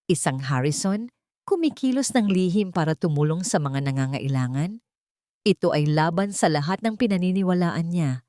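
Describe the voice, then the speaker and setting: A woman's voice: on the low side, expressive, normal speed, dark tone, dry recording, studio quality. A woman reading scripted dramatic narration as the storyteller of a romantic tale.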